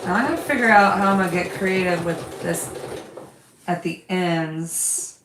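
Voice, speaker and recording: A woman's voice: lowish voice, moderate pace, echoey room, background noise. A woman who has lost her voice, hosting a quilting livestream, chatting casually with viewers and reading their comments while she sews.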